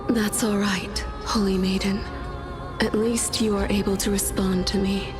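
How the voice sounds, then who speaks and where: Woman acting a slow, weary dead scholar. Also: mid-range voice, flat delivery, lightly acted. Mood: resigned, pleading. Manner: polite, breathy, philosophical, resigned. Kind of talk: dubbed fantasy game dialogue. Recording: orchestral game music behind.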